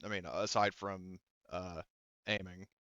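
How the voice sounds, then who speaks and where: A man's voice: low-pitched, level tone, unhurried, sharp tone, dry recording, lo-fi sound. A man casually narrating and refereeing a tabletop roleplaying game as game master, with some swearing.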